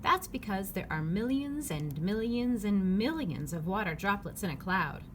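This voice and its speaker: A woman reading a children's picture book aloud, phrasing it clearly and simply for young listeners. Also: mid-range voice, lively intonation, moderate pace, a bit bright. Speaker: read-aloud narrator. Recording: clearly noisy background ambience.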